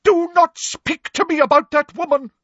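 Storybook seer-teacher, older woman played by a man: shrill, throbbing, self-pitying grandeur, wildly swooping pitch. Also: animated, takes it slowly, a bit bright, slightly rough, no room sound, muffled recording, full character voice. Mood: outraged. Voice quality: high-pitched, wavering, throbbing. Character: hysterical, theatrical older female divination teacher.

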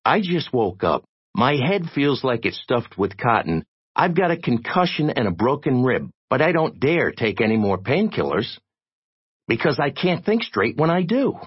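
A man's voice: low voice, expressive, moderate pace, few pauses, slightly rough, dry recording, highs cut off, voice pushed up. A man voicing a battered, sardonic investigator in an audiobook, speaking in a blunt, weary, tough way. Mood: irritated.

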